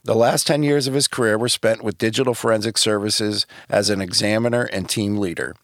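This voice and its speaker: A man's voice: on the low side, steady tone, a little fast, a bit bright, no echo, clean studio sound. A man, co-hosting a podcast in a conversational style. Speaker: podcast co-host.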